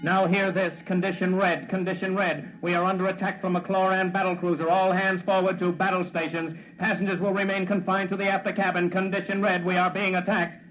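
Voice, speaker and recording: An actor playing a starship officer barking clipped, urgent orders over an intercom in a 1950s radio drama. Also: male voice, sits high, level tone, fairly quick, hardly any pauses. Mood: urgent alarm. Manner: clipped, repetitive, commanding. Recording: muffled vintage radio recording.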